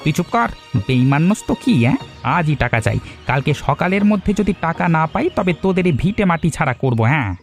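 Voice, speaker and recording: A man's voice: a little high, big pitch swings, a little fast, faint music behind. A man voicing a hot-tempered, blustering village butcher in a Bengali cartoon. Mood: furious, threatening. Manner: blustering, tags threats with questioning "hyan". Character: hot-tempered, threatening village butcher, quick to take offence.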